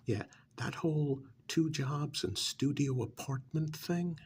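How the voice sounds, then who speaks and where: A man playing a smug, pampered vampire's familiar recruiter in an ASMR role-play, cajoling and dismissive, with a gravelly voice that often drops to a breathy near-whisper. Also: a little high, a little mellow. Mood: dismissive coaxing. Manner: conversational, cajoling, with rhetorical questions and pauses.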